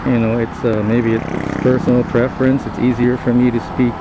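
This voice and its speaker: Guy from the philippines, native tagalog speaker, moto vlogging off a helmet mic, kinda monotone halting rambling, really noisy road behind him. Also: mid-range voice, lo-fi sound. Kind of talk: casual rambling vlog monologue. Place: outdoors, riding a motorcycle in traffic. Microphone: lav mic on helmet. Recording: helmet lav mic, loud traffic noise. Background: motorbike engine and road traffic noise.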